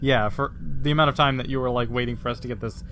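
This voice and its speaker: A man's voice: mid-range voice, fairly even intonation, fast, a bit bright, faint music behind. A man chatting casually as a player in a tabletop roleplaying game, with a dry, teasing manner. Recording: faint room noise.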